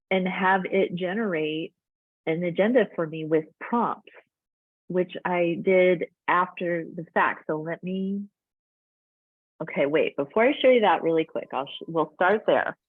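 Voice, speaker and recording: A woman's voice: fairly low pitch, a little slow, dry recording. Woman on thin phone-like audio. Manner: loose, chatty, run-on sentences. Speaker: life coach hosting a webinar. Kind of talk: casual webinar presentation.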